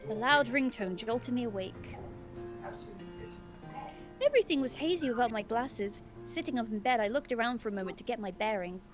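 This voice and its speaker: A woman reading aloud as a shy, flustered teenage schoolgirl in a visual novel, expressive and earnest. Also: a little high, moderate pace, faint music behind, lightly acted. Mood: earnest narration.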